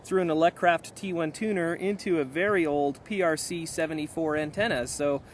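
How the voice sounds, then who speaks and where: A man in a casual hands-on demonstration, talking in an unhurried, explanatory way as an amateur radio operator outdoors. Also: fairly high pitch. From United States. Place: outdoors on a mountainside. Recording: noisy outdoor camera recording. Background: outdoor ambient noise.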